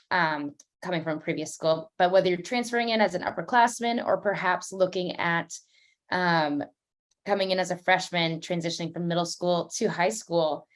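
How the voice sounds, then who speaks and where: Young woman, panel host: upbeat, chatty, slightly low voice, video-call sound. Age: young adult. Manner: chatty, upbeat, many fillers. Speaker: admissions officer hosting an online panel. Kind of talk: casual online panel hosting.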